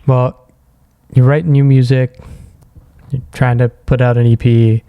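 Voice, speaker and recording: A man hosting a podcast, asking loose, halting questions in a casual interview. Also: mid-range voice, flat delivery, phone-quality sound.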